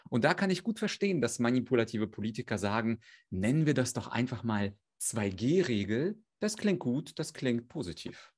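Flüssig erklärender Rhetorikexperte, tiefe Stimme. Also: male voice, fairly low pitch, a little mellow. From Ukraine. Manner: fluent, explanatory. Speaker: rhetoric trainer, guest. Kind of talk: expert interview.

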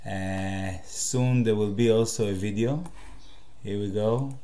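A man from Israel with a Hebrew accent, a native Hebrew speaker hosting his own channel and talking to the camera in a direct, halting way with many fillers. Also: on the low side, dark tone. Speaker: channel host. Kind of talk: solo talk to camera.

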